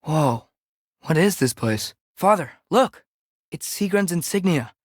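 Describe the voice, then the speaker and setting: A man's voice: on the high side, expressive, measured pace, breathy edge, dry recording. An earnest American teenage boy adventurer, close and dry. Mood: curious. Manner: hesitant, earnest, questioning. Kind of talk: video game character dialogue.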